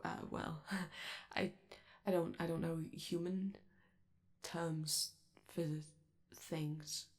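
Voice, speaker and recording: Casting: woman to play sweet, fidgety robot crewmate for ASMR comfort audio; slightly low, gravelly fry, shy rambling manner. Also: darkish tone. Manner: hesitant, rambling, fidgety, reassuring. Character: friendly, nervous, chatty robot alien crewmate, gentle and awkward. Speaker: ASMR roleplay performer. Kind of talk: ASMR comfort roleplay, conversational.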